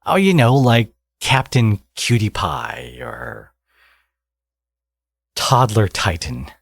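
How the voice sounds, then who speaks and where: A man playing a smug, teasing villain with a secretly caring side in an ASMR audio roleplay, speaking casually and sardonically with a husky voice. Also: on the low side, huge pitch swings, takes it slowly, no echo, studio quality, exaggerated voice. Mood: wry, grudging.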